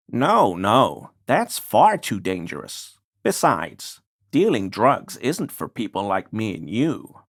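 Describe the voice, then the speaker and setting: A man's voice: mid-range voice, fairly bright tone, no echo, studio quality, exaggerated voice. An actor playing a paranoid, furtive deliveryman in a video game, conspiratorial and evasive, deferential yet smug. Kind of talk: video game character dialogue.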